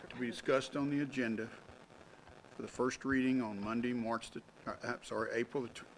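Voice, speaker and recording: A man on a town council makes a formal motion, pausing with fillers as he reads out dates and figures with care. A faint hiss runs under the recording. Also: medium pitch, a bit bright, rough recording. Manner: halting, reads figures carefully. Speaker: council member.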